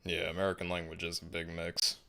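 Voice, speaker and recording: A man hosting a casual livestream, chatting in a laid-back, musing way with frequent restarts and soft laughs. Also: deep voice, monotone, brisk, creaky. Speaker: livestream host. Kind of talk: casual livestream chat, reading viewer comments.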